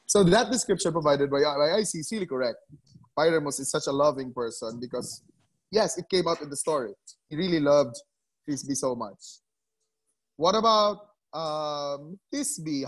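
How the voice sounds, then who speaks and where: Brief: higher-pitched male teacher, patient and coaxing, repeats himself, video-call audio. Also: expressive, moderate pace, pauses often, husky, rough recording. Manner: coaxing, repeats names and words. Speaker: English teacher. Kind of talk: online class discussion.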